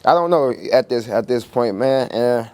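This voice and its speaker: Relaxed, rambling man in his twenties. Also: mid-range voice, moderate pace. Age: 20s. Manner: relaxed, rambling, laughs often, many fillers. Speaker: podcast guest. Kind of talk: casual podcast chat.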